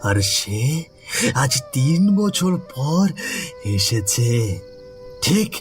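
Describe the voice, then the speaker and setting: Vengeful male ghoul for horror cartoon , played by a male narrator: tormented, dramatic, slow and drawn-out, music underneath. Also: medium pitch, very animated. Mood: bitter, vengeful. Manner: anguished, drawn-out, dramatic declarations.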